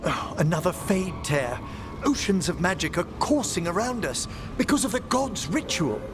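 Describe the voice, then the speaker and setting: An actor playing a courteous, learned mage who speaks formally and worriedly in a video game cutscene. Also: male voice, high-pitched, lively intonation, moderate pace. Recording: game audio with music.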